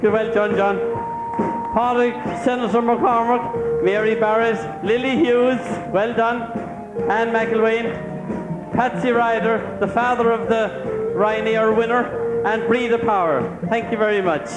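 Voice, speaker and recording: A high-pitched Irish man works as a stage compère, delivering an effusive roll call of thanks. His projected voice rings with heavy echo around a large hall. Loud music plays behind him in this live amateur show recording. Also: fairly even intonation, unhurried. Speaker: master of ceremonies at an amateur pantomime.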